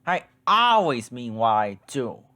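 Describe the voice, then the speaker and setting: A man's voice: medium pitch, sing-song, slow, bright, forceful tone, dry recording, full character voice. A man voicing a menacing male diesel engine villain that growls and sneers.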